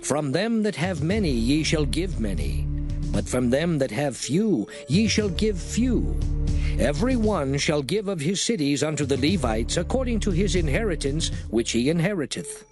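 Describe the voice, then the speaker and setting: Declaiming scripture voice for divine commands, lively pitch over a music bed. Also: male voice. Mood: solemn. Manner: measured, declaiming. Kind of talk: dramatized scripture reading.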